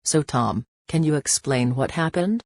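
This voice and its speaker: A woman's voice: sits low, lively intonation, measured pace, a little mellow, no echo, heavily acted. A woman playing a polite field reporter, conducting a scripted news interview. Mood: polite, businesslike.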